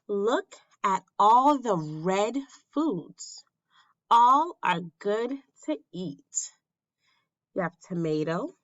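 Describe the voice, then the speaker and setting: A woman reading a children's picture book aloud as a teacher, with simple, deliberate phrasing and questions for young listeners. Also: mid-range voice, a bit bright, lo-fi sound.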